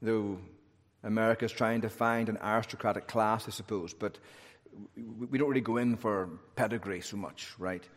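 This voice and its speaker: Northern Irish pastor in a reverberant hall. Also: male voice, on the low side, steady tone, moderate pace. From Northern Ireland. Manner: conversational preaching with asides and humor. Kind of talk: sermon.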